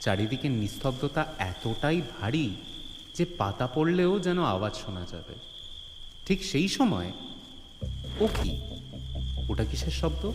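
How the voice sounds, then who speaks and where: A man's voice: mid-range voice, very animated, a little slow, pauses often. A man narrating a comedy-mystery story in a theatrical, suspenseful way, with touches of mock alarm. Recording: long hall reverb, music bed.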